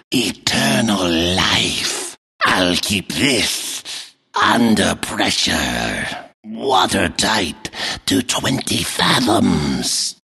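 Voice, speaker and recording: Menacing undersea fish-man sentinel, game character, harsh husky rasp, wildly swinging pitch, proud and grim. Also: heavily acted. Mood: solemn, forceful. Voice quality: gravelly, raspy growl. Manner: slow, forceful, menacing delivery. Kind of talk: video game character voice lines.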